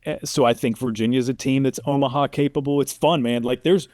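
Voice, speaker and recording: A man's voice: medium pitch, steady tone, brisk, darkish tone, dry recording, clean studio sound. A middle-aged American man, an interview guest, talking casually and reflectively in a storytelling way. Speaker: interview guest, sports play-by-play announcer.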